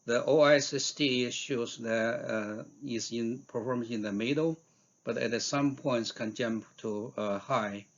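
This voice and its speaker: A man giving a scientific conference talk in a halting way, with frequent hesitations and fillers. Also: medium pitch, steady tone, dull audio. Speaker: researcher giving invited talk.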